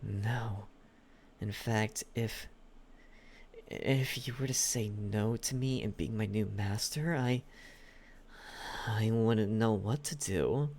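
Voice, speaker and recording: A man playing a possessive, lovesick demon butler in an intimate ASMR roleplay, coaxing with a halting delivery and lingering pauses. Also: lowish voice, a little mellow. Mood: pleading, persuasive.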